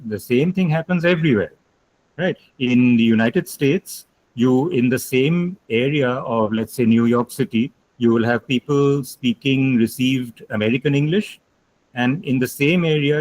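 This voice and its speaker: A man's voice: medium pitch, rough recording. Emphatic male lecturer on a video call. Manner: emphatic, explanatory, repeats key points. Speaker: guest lecturer. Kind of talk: online lecture with questions.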